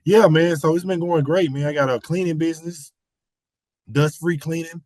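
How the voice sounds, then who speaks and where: A man with a slightly hoarse voice from a cold, talking basketball as a podcast guest in animated casual chat, repeating his points. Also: on the high side, moderate pace. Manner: animated, repeats phrases, slangy. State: hoarse, getting over a cold.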